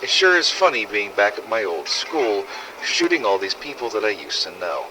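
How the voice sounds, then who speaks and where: A man's voice: on the low side, sing-song, moderate pace, background noise. A man playing a callous young soldier in a video game audio log, recounting brutal orders. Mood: matter-of-fact.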